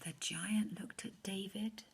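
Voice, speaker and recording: Slow, slightly husky woman storyteller. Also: mid-range voice, steady tone. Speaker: story reader. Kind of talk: children's rhyming story read aloud.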